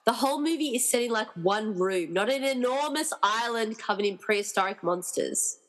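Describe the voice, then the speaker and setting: Woman as anxious determined heroine, radio play, highish voice, earnest, wry, long reverb. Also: animated, moderate pace, close to an everyday voice. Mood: wry, uneasy. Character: anxious, determined woman hero rescuing her friends. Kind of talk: amateur radio-play acting.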